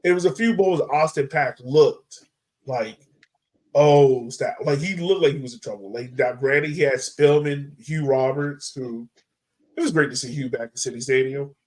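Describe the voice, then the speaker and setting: A man co-hosting a podcast, chatting casually about sport in slangy, animated talk. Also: mid-range voice, normal speed. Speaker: podcast co-host.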